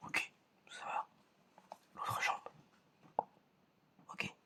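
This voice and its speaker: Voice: extremely slow, bright, forceful tone. An ASMR performer whispering a roleplay exam in a hesitant murmur, often repeating short phrases. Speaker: ASMR performer playing an examiner.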